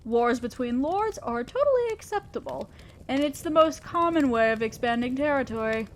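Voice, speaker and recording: Woman voicing a demon lord lady boss, high pitched, formal but teasing, audio drama style, bit of noise behind it. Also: lively intonation. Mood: ambitious, businesslike. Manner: measured, formal, addressing an underling directly. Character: commanding female demon lord, fair-minded, ambitious, slyly teasing boss. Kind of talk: scripted roleplay audio drama.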